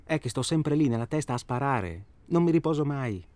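A male actor plays a worn-out, restless man in a naturalistic radio drama. His voice sits at a middle pitch. He rambles with agitation and needles his companion with sardonic remarks. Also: lively intonation, normal speed, darkish tone, no room sound, natural voice. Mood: agitated, weary. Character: weary, restless man tormented by his job, needling talker.

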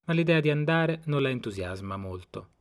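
A man's voice: mid-range voice, lively intonation, normal speed. A middle-aged man reading scripted first-person narration in a reflective, wry manner. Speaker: narrator and author of an audio series. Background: guitar music.

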